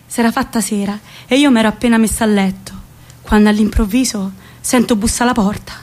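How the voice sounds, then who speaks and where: Woman doing a warm old country granny, roman-area accent, folksy stage storytelling, voice on the high side. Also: fairly even intonation, brisk, lightly acted. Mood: hushed recollection. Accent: central Italian, Roman area. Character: warm old rural Italian grandmother recalling her youth. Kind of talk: theatrical monologue.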